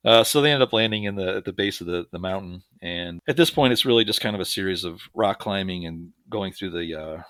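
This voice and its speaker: A man's voice: deep voice, a little fast, few pauses, a bit bright, no room sound, studio quality. A man co-hosting a podcast, recapping a movie in casual, jokey chat with sarcastic asides. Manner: jokey, sarcastic, chuckling often. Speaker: podcast co-host.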